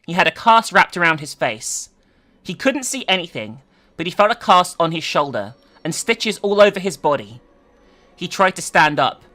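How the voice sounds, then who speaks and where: A woman's voice: lowish voice, fairly bright tone. A woman giving a theatrical, emphatic dramatic reading of a story, as a host who also comments casually. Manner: theatrical, emphatic, sweary in asides.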